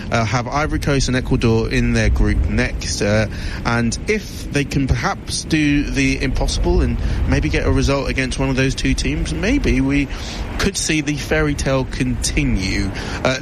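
A man's voice: medium pitch, moderate pace, background noise. A man presenting a sports news report, talking fluently but with frequent "uh" fillers.

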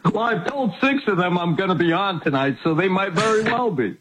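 A man's voice: high voice, lively intonation, fairly quick, a bit bright, slightly rough. A man of seventy, a guest on a sports betting show, talking casually with wry, self-mocking humor. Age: 70s. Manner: wry, self-mocking, laughs at his own jokes. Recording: muffled call-in line.

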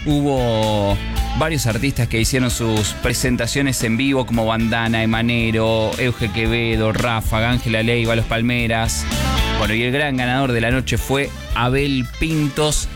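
A man's voice: mid-range voice, level tone, unhurried. Argentine male morning radio host over music. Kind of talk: morning radio news and chat. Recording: music bed behind the voice.

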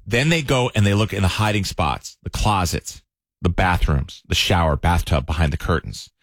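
A man hosting a morning radio show, talking loud and playful with a booming voice. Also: moderate pace, no room sound, studio quality. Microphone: studio mic.

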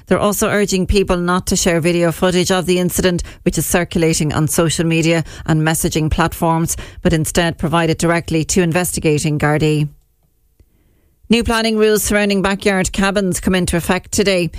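Smooth steady Irish newsreader woman. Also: on the low side, no room sound, studio quality. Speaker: radio newsreader. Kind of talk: read news bulletin.

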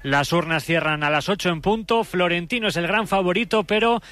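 A man's voice: high voice, a little fast, bright, forceful tone, no echo. A man giving a scripted radio report as a sports reporter.

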